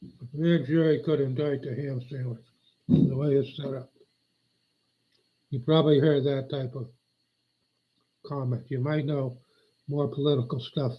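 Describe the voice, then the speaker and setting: A man's voice: medium pitch, fairly even intonation, unhurried, long pauses, husky. An older man rambling on a live stream, meandering and aggrieved, often losing his train of thought. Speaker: livestreamer. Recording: dull lo-fi audio.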